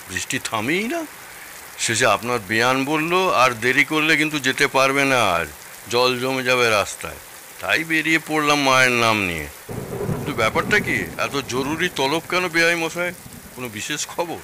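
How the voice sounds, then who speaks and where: An actor playing a teasing, sceptical older in-law in an audio drama, questioning and wry. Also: male voice, mid-range voice, animated, moderate pace, bright, forceful tone, a little hiss or hum. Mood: puzzled, curious. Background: rain.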